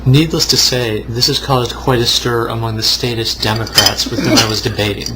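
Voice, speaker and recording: A man's voice: mid-range voice, steady tone, normal speed, bright, forceful tone, a little hiss or hum, low-quality recording. A young man reading aloud mock-seriously, with wry, sarcastic asides. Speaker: co-host.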